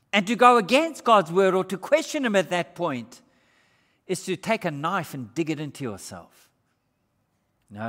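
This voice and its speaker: Emphatic preacher, older man. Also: high voice. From Australia. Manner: emphatic, wide swings between raised and hushed delivery; gestures broadly while preaching. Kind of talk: sermon. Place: church hall with brick walls. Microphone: far camera. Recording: reverberant church hall.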